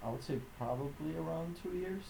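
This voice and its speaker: A man's voice: fairly high pitch, fairly quick, vocal fry, mellow, husky, rough recording. A twenty-one-year-old man from Poland with a light Polish accent, giving brief, casual answers as an interview guest. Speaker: guest, jiu-jitsu student.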